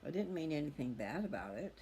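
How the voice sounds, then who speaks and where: Middle-aged man, somewhat high-pitched, casual personal monologue, reflective, candid, noisy background. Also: big pitch swings, fairly quick, darkish tone. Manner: candid and reflective, chatting to listeners. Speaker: host of a personal video channel. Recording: clearly audible background noise.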